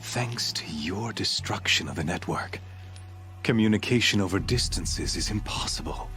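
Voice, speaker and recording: A man playing a cold, pompous zealot villain in a video game, gloating in a measured, theatrical sermon. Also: lowish voice, fairly bright tone.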